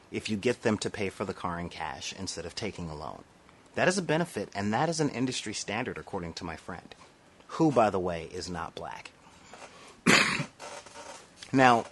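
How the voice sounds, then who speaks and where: A man's voice: fairly low pitch, fairly bright tone, a little hiss or hum. A man from the United States with a nasal voice, delivering an impassioned monologue to camera, emphatic and full of rhetorical asides. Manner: emphatic, rhetorical asides, frequent "all right?". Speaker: vlogger.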